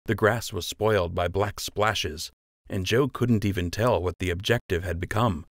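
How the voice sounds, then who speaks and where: A man's voice: low-pitched, moderate pace, no echo, studio quality. A man narrating scripted fiction as an audiobook reader.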